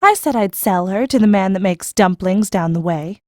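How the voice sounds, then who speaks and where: A woman's voice: medium pitch, animated, moderate pace, dry recording, studio quality, lightly acted. A woman acting an eager, plain-spoken orphaned country girl in a full-cast audiobook, with a rustic, frank manner. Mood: dry, matter-of-fact.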